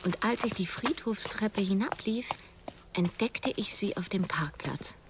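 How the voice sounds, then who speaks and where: An actress in her thirties playing a reflective writer who narrates a radio drama in a measured, literary way. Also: mid-range voice, expressive, noisy background. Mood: measured, observant. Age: 30s. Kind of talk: scripted first-person radio drama narration.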